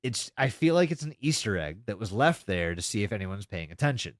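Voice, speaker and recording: Animated, sweary man podcasting. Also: lowish voice, fairly quick, no echo, clean studio sound. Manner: sweary, informal, emphatic. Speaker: podcast co-host. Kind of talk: casual chat recapping a web horror series.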